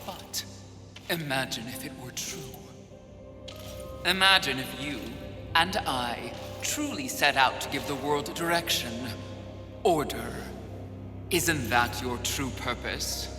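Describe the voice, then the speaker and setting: A man playing a proud demonic villain in a video game, slowly persuading like a grand orator. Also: mid-range voice, close to an everyday voice. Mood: grand temptation. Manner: slow, measured, persuasive oratory. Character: proud, grand demonic sin, a seductive tempter. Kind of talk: dramatic video game cutscene acting. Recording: echoey hall reverb, loud music behind.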